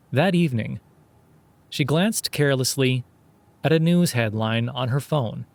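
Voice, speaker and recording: A man reading scripted dark fiction as a podcast narrator, in a measured, deliberate way with dramatic pauses. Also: a little high.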